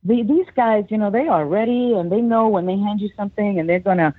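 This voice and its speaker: A woman's voice: mid-range voice, a little fast, no echo. A middle-aged woman, an actress, chatting in an interview, telling stories animatedly and acting out quotes with frequent laughter. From United States. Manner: animated storyteller, acts out quotes, laughs often. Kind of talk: casual interview chat. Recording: tinny phone-line sound.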